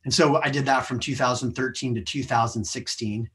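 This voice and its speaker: Man, American accent, mid-pitched voice, podcast guest, conversational, explanatory. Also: steady tone, a little fast, a bit bright. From United States. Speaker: podcast guest, sales professional. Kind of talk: conversational interview, explaining career lessons.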